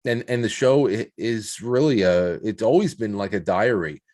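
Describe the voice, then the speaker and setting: A man speaking reflectively in a conversational interview, haltingly repeating words as he builds his points. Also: lowish voice, animated, a little mellow. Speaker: podcast guest, show host.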